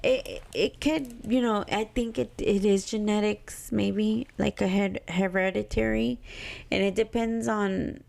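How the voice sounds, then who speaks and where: A Mexican American woman with a strongly gravelly, fry-laden voice at a middling pitch. She rambles in casual podcast chat, hunting for words and circling back as she thinks aloud. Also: fairly even intonation, measured pace, a little mellow. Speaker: podcast co-host.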